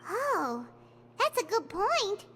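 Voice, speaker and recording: A tiny fairy sidekick in an anime-style game, extremely high and squeaky, with a lively, swooping childlike delivery. The character is bubbly, curious and quick to get flustered, over prominent music. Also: female voice, full character voice. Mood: puzzled. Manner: exclamatory, refers to self in third person.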